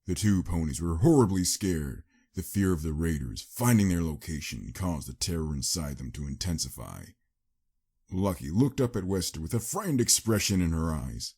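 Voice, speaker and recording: A man narrates a story in a low, husky voice. His delivery is dramatic and scripted, with very lively intonation. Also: moderate pace.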